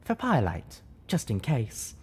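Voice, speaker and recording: A man's voice: mid-range voice, wildly swooping pitch, slow, husky, pitched above the performer's own voice, heavily acted. Man voicing a prim, caring mare medic. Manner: prim, gently scolding. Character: caring, bossy female unicorn pony medic.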